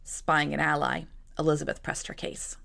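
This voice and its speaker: A woman reading a novel aloud as narrator, with an expressive, measured, dramatic storytelling delivery. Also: sits low, vocal fry. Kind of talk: expressive scripted audiobook narration.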